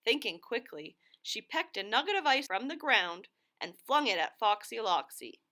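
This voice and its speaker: A woman narrating a children's story in an expressive read-aloud style. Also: on the high side, moderate pace. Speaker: storybook reader.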